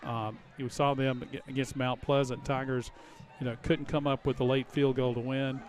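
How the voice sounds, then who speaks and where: A man's voice: mid-range voice, flat delivery, moderate pace. A man giving live analytical commentary on a football game, explaining plays in a conversational way. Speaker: color commentator.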